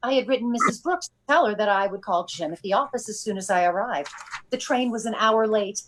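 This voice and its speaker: A woman's voice: mid-range voice, animated, brisk, a bit bright, slight background noise, natural voice. A woman playing a polite, dramatic lady visitor in an old-time radio mystery, with a theatrical manner. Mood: earnest.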